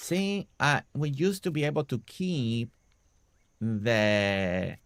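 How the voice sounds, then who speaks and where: Gravelly, congested man chatting on stream. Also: medium pitch, slow, dry recording. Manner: relaxed, chatty, talks to viewers by name. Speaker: game streamer. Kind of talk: casual live-stream chat while building.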